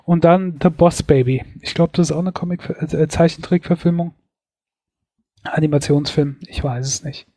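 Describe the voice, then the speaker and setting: A man's voice: a little high, fairly even intonation, moderate pace, mellow, no echo, clean studio sound. A man co-hosting a podcast, chatting casually through a year-in-review of films, hedging with fillers. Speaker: podcast co-host.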